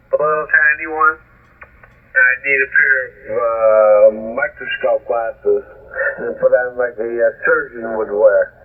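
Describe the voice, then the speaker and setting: A thin, band-limited shortwave radio signal carries a man's mid-pitched voice. He is an amateur operator chatting casually, with a folksy, salty, rambling way of talking. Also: narrow, tinny audio. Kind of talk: casual chat over ham radio.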